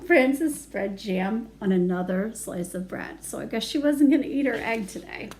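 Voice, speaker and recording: High-pitched woman reading to young children. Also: animated, moderate pace, noisy background. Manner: singsong, addressing young children. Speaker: storytime reader and educator. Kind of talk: children's read-aloud with simple asides.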